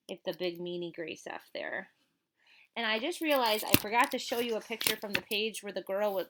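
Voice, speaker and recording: A woman's voice: mid-range voice, a bit bright, a little hiss or hum. A woman reading a children's storybook aloud as a teacher would, expressively and with chatty asides.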